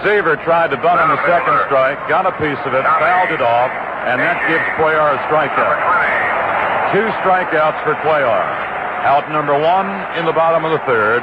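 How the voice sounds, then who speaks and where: A man doing live radio baseball play-by-play in clipped, descriptive phrases. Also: on the high side. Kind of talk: live radio sports commentary. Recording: muffled, very noisy 1960s radio broadcast.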